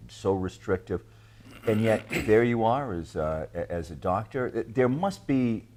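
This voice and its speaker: A man's voice: lowish voice, animated, measured pace, a little mellow. A man hosting a TV interview show, asking long thoughtful questions while searching for words. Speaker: TV show host.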